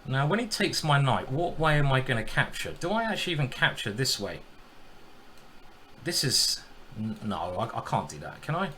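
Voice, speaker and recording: A man's voice: medium pitch, big pitch swings, normal speed, sharp tone, slight background noise. A man giving casual, jokey live-stream commentary on his own chess game, greeting viewers as he thinks aloud.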